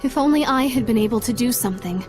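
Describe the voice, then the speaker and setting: Game role, female actor: sincere young heroine, high voice, earnest, light music underneath. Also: fast talker, lightly acted. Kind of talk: English video game dub acting.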